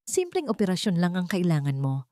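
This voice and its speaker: A woman's voice: fairly low pitch, huge pitch swings, brisk, dark tone, no room sound, studio quality. A woman narrator voicing a serious, firm, protective man with a commanding manner in an audio love drama. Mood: reassuring, firm. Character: grave, protective wealthy man, firm and threatening.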